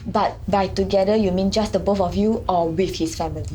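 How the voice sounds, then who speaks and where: A woman's voice: medium pitch, steady tone, a little fast, warm tone. A woman hosting a casual panel chat, chatty and laughing often as she leads the talk. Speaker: host.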